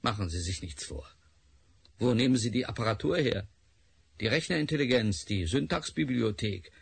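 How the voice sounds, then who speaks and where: An actor playing a scornful, sneering scientist in a radio play, with a raspy voice. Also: male voice, medium pitch, animated, normal speed. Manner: sneering, interrogating. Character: scornful male scientist, Doctor Bergson. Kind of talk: radio drama acting.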